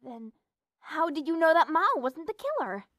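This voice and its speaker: A woman's voice: very high-pitched, sing-song, moderate pace, a bit bright, no echo, studio quality, full character voice. A woman voicing a melodramatic, exasperated teenage girl schemer in an anime parody. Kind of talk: anime parody dub acting.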